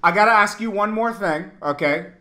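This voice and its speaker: A man's voice: high voice, moderate pace, bright, forceful tone. A man hosting a casual, slangy hip-hop interview over a video call, talkative and emphatic, often repeating phrases. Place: indoor room, on a video call.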